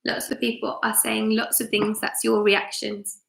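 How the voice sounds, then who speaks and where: A woman's voice: fairly high pitch, normal speed, vocal fry. A woman who works as a teacher, reading a story aloud on a live stream and chatting expressively with her young listeners. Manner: expressive, asks listeners questions. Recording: roomy, echoey room sound.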